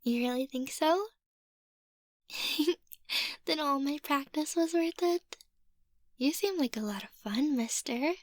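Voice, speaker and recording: A woman playing a playful, sweet young vampire mistress in an ASMR roleplay, soft-spoken and teasing, often slipping into a whisper. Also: high-pitched, slow, long pauses, dry recording, studio quality. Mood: playful, coaxing.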